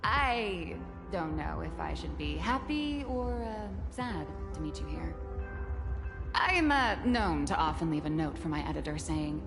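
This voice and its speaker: A woman voicing a breezy, wry female writer in a video game, chatty and playful. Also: mid-range voice. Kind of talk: video game character acting. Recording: long reverb, music behind.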